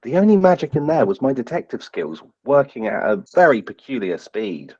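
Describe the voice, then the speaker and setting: Animated man, dull dry recording. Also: mid-range voice, moderate pace, breathy edge, dry recording. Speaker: tabletop game player. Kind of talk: casual joking roleplay. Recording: dull muffled close microphone.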